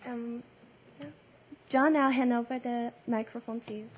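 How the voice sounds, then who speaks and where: High-voiced woman moderating a press conference. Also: steady tone, measured pace, muffled recording. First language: Mandarin. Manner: careful, with stumbles and self-corrections. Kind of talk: reading prepared introductions.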